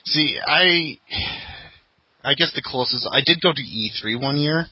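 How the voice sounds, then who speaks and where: A man's voice: fairly high pitch, unhurried, pushed and bright, slightly rough, rough recording. A man hosting a casual podcast chat, telling rambling anecdotes and laughing often. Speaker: podcast host.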